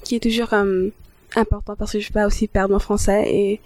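A teenage girl, a high school intern, answering interview questions in a rambling way with many fillers and restarts. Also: flat delivery, brisk, darkish tone. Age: teens.

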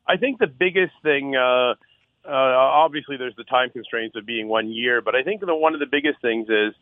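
A middle-aged man from Six Nations in Canada, a council candidate on a phone-in radio interview, earnest and loud-voiced, with many uh fillers and restarts. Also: medium pitch, normal speed, dry recording. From Six Nations, Ontario, Canada. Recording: dry tinny phone line.

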